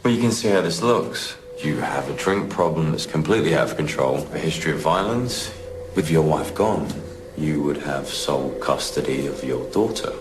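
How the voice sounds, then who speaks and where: Deep, blunt British detective. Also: male voice, lively intonation, moderate pace, background noise. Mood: challenging. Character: blunt, challenging male British police detective. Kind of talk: naturalistic TV police drama acting.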